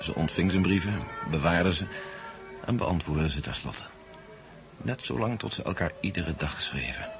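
A man's voice: low-pitched, expressive, moderate pace, gravelly. A man acting as a wry storyteller recounting a romance to friends in a radio drama. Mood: engaged. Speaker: narrator. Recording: music behind radio play voice.

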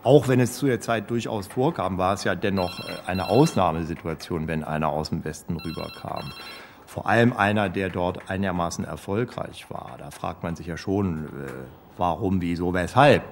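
An actor playing a guarded, condescending former state security officer, speaking hesitantly and matter-of-factly in a mock documentary interview. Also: male voice, low-pitched, moderate pace, slight background noise. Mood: dry, dismissive. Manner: hesitant, dry, matter-of-fact.

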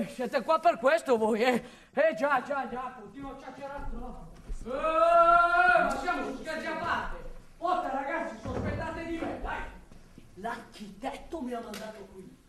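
A woman playing a blustering, defensive male building foreman, rambling and interrupting herself in a radio drama monologue. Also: high voice. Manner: agitated, rambling, self-interrupting. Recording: echoey room, distant mic.

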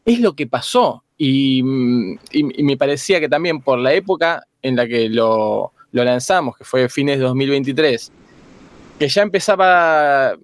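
Argentine male interviewee, mid-range voice, reflective and halting spontaneous answers, dry close recording. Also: medium pitch, expressive, dry recording. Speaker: interview guest, documentary maker.

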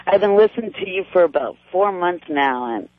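A woman in her thirties from West Virginia, calling in to a radio show. She has a deep voice and a good-natured manner. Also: animated, a little fast, no echo. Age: 30s. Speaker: caller. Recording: phone line.

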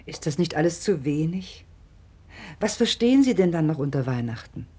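Husky, mellow, sceptical mother. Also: deep voice, big pitch swings, moderate pace, full character voice. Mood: doubting. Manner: questioning, doubtful. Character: sceptical, concerned wife and mother, questioning. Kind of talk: German radio play dialogue.

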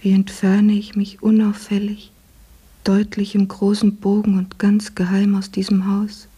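An actress playing an embittered, hard-working housewife who was once a maid, in a breathy, husky voice for a radio play. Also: female voice, medium pitch, monotone, unhurried, dark tone. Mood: hushed, anxious.

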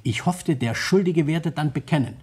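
An actor playing a shrewd, self-satisfied police detective in a radio crime play, speaking formally and deliberately. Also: male voice, fairly high pitch, expressive, darkish tone, breathy edge.

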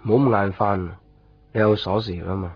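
A man's voice: fairly low pitch, fairly even intonation, a little fast, breathy edge. An actor playing a withdrawn, grieving, brooding young man in a radio drama, with a Hong Kong Cantonese accent. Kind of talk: introspective radio drama narration and dialogue. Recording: dull 1990s radio recording, music behind.

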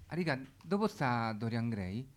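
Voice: mid-range voice, big pitch swings, a little slow. A caller in a radio comedy sketch, speaking casually and colloquially, hesitant and deferential.

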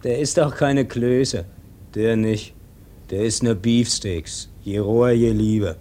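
Man acting slow, mellow, stolid young coachman. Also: medium pitch, close to an everyday voice. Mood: stolid, dismissive. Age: young adult. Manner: blunt, terse, deadpan. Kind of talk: German radio play acting.

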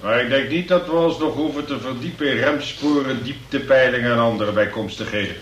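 An actor in a radio play as a pompous examining magistrate, formal, ponderous and wordy. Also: male voice, mid-range voice, brisk, muffled recording, slight background noise, natural voice. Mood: dry, measured. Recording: roomy, distant radio play recording.

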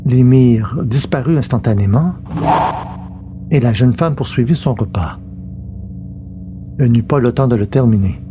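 A man's voice: mid-range voice, measured pace, long pauses, noisy background. A man narrating a science fiction novel in a scripted, literary storytelling style.

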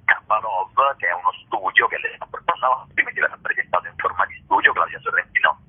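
A man's voice: low voice, quick pace, breathy edge. A man explaining a programme as an organiser in an informal interview, hesitating and drawing out words. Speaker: theatre festival organiser and presenter. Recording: muffled lo-fi phone-like radio line.

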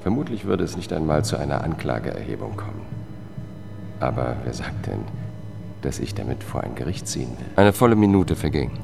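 An actor playing a cool, relentless investigator who narrates his own case in a measured radio-drama style. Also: very deep voice, sing-song, vocal fry, music in the background, full character voice. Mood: cold, controlled. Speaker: first-person narrator.

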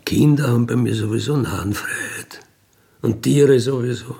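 A middle-aged or older man with a gravelly voice, telling personal stories casually and emphatically in colloquial speech, often checking for agreement. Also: medium pitch, normal speed, a little mellow. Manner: colloquial, emphatic, seeks agreement with tag questions. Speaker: interviewee, career crook telling his life story.

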